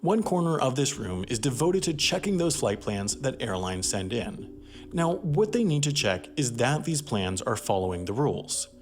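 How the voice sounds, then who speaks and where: A man delivers scripted documentary narration with a highly animated pitch that rises and falls through each sentence. His explanatory phrasing is emphatic, and music plays softly behind him. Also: normal speed. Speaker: narrator and host. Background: background music.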